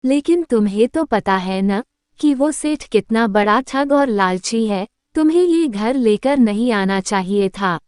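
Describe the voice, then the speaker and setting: Clear-voiced shrewd village wife played by a woman. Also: fairly high pitch, expressive, a little slow, a little mellow, dry recording, clean studio sound. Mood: questioning, scolding. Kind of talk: Hindi cartoon story dialogue.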